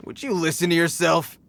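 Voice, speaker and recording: An actor playing a belligerent bandit thug in a video game, taunting with slangy street-tough talk. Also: male voice, on the high side, fairly bright tone, a little hiss or hum.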